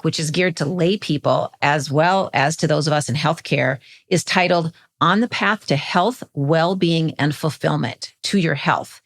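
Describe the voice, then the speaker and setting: A woman's voice: deep voice, a bit bright. A woman hosting a podcast, reading scripted introductions and putting questions to a guest. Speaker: podcast host.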